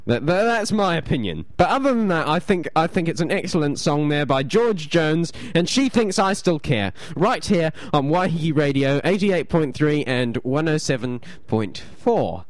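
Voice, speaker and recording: A man's voice: fairly high pitch, expressive, normal speed, few pauses, no echo. Rambling New radio host man. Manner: rambling, self-deprecating, stumbles and repeats words. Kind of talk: casual radio chat and reading aloud.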